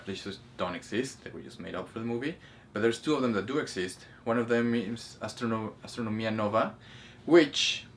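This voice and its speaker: A man's voice: medium pitch, slight background noise. A man co-hosting a casual movie discussion, explaining things in a hesitant, self-correcting way. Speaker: co-host of a spirituality review show.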